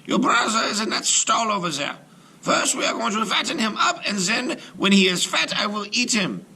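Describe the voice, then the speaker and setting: A man voicing a gnarled, greedy old witch in a high, crackly voice for a read-aloud storybook. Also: sing-song, normal speed, bright, forceful tone, slightly rough, exaggerated voice. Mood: bossy.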